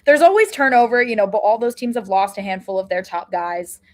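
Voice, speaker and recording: A woman's voice: medium pitch, animated, brisk. A woman speaks as a sports podcast co-host. Her delivery is casual and thoughtful, with an ordinary mid-range voice and frequent self-corrections as she works through her analysis.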